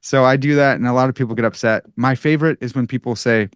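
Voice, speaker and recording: A man's voice: medium pitch, fast talker, clean tone, no room sound, studio quality. A man in his thirties with a nasal Chicago accent, talking casually and animatedly as a podcast guest. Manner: animated, bro-ish, self-deprecating. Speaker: podcast guest, philosopher and writer. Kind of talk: casual conversation.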